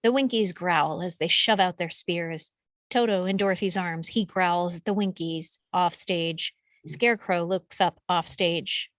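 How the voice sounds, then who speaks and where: A woman's voice: lowish voice, measured pace, a bit bright, dry recording. A woman reading out screenplay action descriptions as scripted narration. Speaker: stage directions reader.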